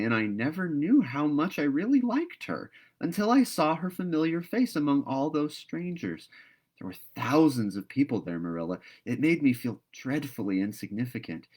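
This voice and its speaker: Man reading as an excitable, gushing young girl. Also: medium pitch, husky, pitched above the performer's own voice. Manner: gushing, wordy, earnest. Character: excitable, talkative young girl, dreamy and earnest. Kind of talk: storybook read-aloud.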